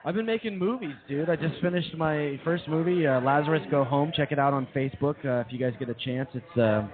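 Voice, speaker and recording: A man's voice: fairly high pitch, a little fast, a crowd in the background. A man co-hosting a comedy radio show with casual, slangy banter, heard over a narrow phone-like stream.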